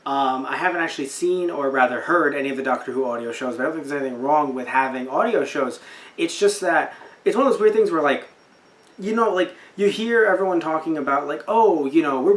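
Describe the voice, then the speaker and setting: A man's voice: on the high side, lively intonation, fairly quick. A young man podcast host chatting casually about anime in a rambling, incredulous way, often repeating himself. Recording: roomy echo, distant from mic.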